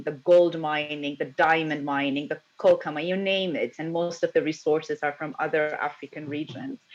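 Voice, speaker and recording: Woman from ethiopia on a panel, lowish voice, thoughtful and earnest, kinda dull lo-fi sound. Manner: thoughtful, earnest, searching for words. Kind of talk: online panel discussion. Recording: dull lo-fi audio.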